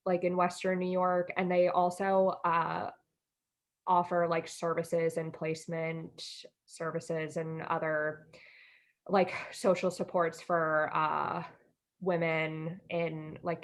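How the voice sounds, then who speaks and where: Slow, low-pitched young American woman. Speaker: podcast co-host. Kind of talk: casual chat.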